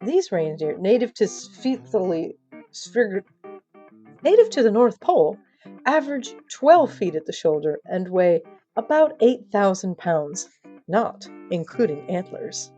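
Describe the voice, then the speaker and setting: A woman's voice: mid-range voice, very animated, a little slow, dark tone, highs cut off. A woman narrating comic audio fiction in a playful, wry manner, with asides to the listener. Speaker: story narrator. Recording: band-limited sound, music behind.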